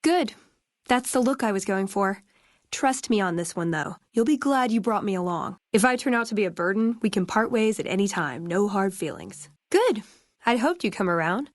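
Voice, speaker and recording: Game companion role: actress as young American woman, sardonic and friendly, conversational, mid-pitched, close dry studio sound. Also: dry recording, close to an everyday voice. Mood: friendly, upbeat. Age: young adult. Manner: sardonic, playful, casual. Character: wry, friendly young woman scribe in a wasteland. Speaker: video game companion character. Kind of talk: scripted game dialogue, conversational.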